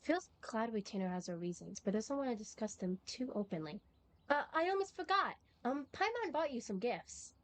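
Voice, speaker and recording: A woman's voice: fairly high pitch, big pitch swings, normal speed. A woman streaming a game, chatting casually and reading the game's text aloud. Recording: home stream mic with faint noise.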